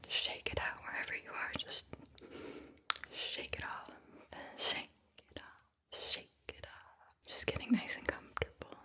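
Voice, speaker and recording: A woman doing soft-spoken, breathy ASMR, whispering in a soothing, intimate way as she talks and reads poetry, lingering on words. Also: measured pace, pauses often. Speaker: ASMR host and poetry reader.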